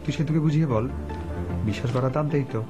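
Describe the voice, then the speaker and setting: A man acts an earnest, polite young friend in a naturalistic TV drama. He speaks quickly in a roomy, echoing space, under loud guitar music. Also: a little high, dull audio, lightly acted. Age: young adult.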